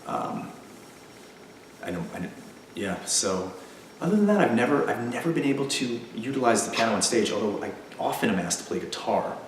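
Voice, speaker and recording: A man, a stage actor and musician, chatting casually in an interview and telling stories with lively asides. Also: medium pitch, normal speed, slight background noise. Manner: animated storyteller, acts out voices of others in anecdotes. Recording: echoey room, distant from the mic.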